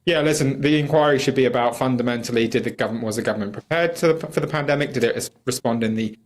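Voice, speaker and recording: A man's voice: mid-range voice, racing. A British man speaking as a campaigner in a political interview, arguing fluently, critically and with emphasis. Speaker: campaigner, studio guest.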